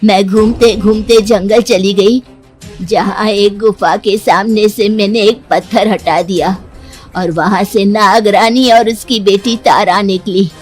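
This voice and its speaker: Slow, earnest older village aunt played by a woman. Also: medium pitch, fairly even intonation, faint music behind, lightly acted. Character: older mother-in-law and aunt, earnestly explaining. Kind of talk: Hindi cartoon story acting.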